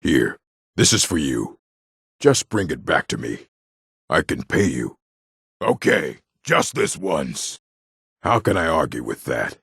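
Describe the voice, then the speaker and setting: An actor playing a gruff, combative male orc townsman in a video game, with a rough, husky voice and a blunt, curt way of speaking. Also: rumbling low, sing-song, slow pace, long pauses, a bit bright, no echo, clean studio sound, heavily acted. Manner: blunt, curt, threatening delivery. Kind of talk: video game character barks.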